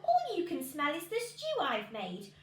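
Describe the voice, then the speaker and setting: Woman playing old woman, storybook, fussing, placating, high voice, echoey room. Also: wildly swooping pitch, a little slow, full character voice. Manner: coaxing, dismissive. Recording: echoey room with background noise.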